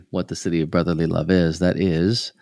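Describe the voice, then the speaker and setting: A male podcast host with a deep, gravelly voice asks his guest questions. He often stops and restarts his sentences, with short affirming sounds in between. The sound is dry and close. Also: normal speed, darkish tone, dry recording. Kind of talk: interview questions, casual chat.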